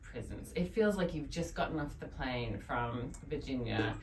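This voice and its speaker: A woman's voice: a bass voice, animated, normal speed. A woman directing actors in casual talk, thinking aloud and searching for words as she goes. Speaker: director of an audio drama. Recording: roomy, distant mic, faint noise.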